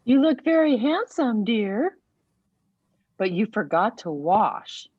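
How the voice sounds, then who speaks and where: Man as female frog mother, prim, patient, raised pitch, slow admonishing delivery, slightly dull recording. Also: very animated, slightly rough, no echo, exaggerated voice. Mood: prim reminding. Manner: measured, gently admonishing.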